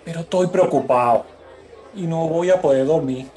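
A man's voice: a little high, normal speed, warm tone, close to an everyday voice, rough recording. A man playing a worried, naive young pupil in a Spanish-language radio soap. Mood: anxious, confessing.

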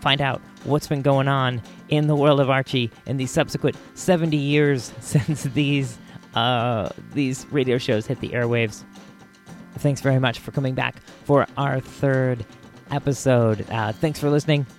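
An American man hosts a podcast in a mid-range voice, with faint music behind him. His commentary is casual and chatty, and he often pauses to find his words. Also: mid-range voice, fairly even intonation. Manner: informal, hesitant, chatty. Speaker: podcast host.

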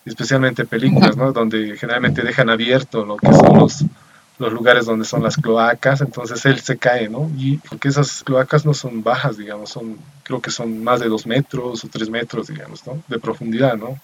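A man's voice: mid-range voice, steady tone, moderate pace, noisy background. A man with a Bolivian accent who hosts a casual chat reviewing a film, rambling with many fillers and tag questions. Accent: Bolivian Spanish.

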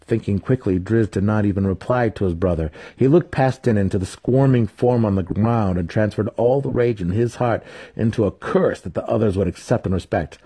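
A man's voice: lowish voice, brisk, mellow, husky. A man reading fantasy fiction as a grave, dramatic scripted narration. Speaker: audiobook narrator.

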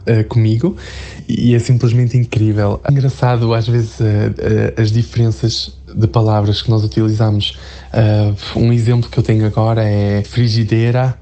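Hesitant Portuguese man, dark even voice. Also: mid-range voice. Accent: European Portuguese. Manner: hesitant, many fillers and restarts. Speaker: interview guest. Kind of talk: casual interview chat.

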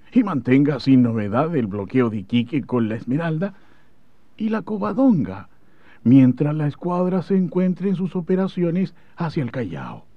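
High-voiced solemn admiral, dramatically inflected. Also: male voice, no echo. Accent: Chilean. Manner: formal, solemn, declamatory. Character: grave, courteous naval admiral addressing his officers. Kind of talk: historical radio drama acting. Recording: dull old radio drama recording.

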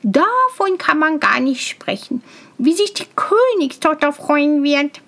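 A woman plays a young simpleton from a storybook, brash and cheerful. The voice is very high and drawn out, with naive, carefree exclamations. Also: big pitch swings, slow, fairly bright tone, exaggerated voice. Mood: excited, proud. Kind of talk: fairy tale storybook reading.

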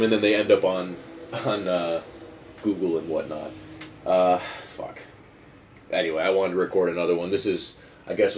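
A man's voice: deep voice, darkish tone. A man hosting a casual, rambling solo podcast, talking in a digressive, sweary and self-mocking way. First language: not Dutch, speaks English fluently. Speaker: podcast host. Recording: dull lo-fi sound with faint noise.

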